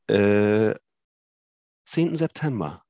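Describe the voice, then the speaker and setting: A man in his thirties from Hamburg with a northern German accent, chatting as a guest on a video call, relaxed and hesitant with many fillers. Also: on the low side, slow pace, no room sound, muffled recording. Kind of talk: casual chat.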